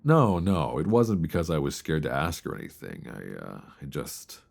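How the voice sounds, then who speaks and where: A man playing a tender, nervous longtime friend confessing love in an intimate ASMR romance roleplay, with a deep voice and hesitant, earnest delivery, close to a binaural mic. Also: huge pitch swings, creaky, heavily acted. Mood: flustered. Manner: hesitant, soft, earnest, with sighs and pauses. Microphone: close binaural microphone.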